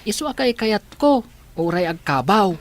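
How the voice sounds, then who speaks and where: A woman playing a gentle, caring young boy, an older brother, in a radio drama with an Ilocano accent. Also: medium pitch, big pitch swings, normal speed, close to an everyday voice.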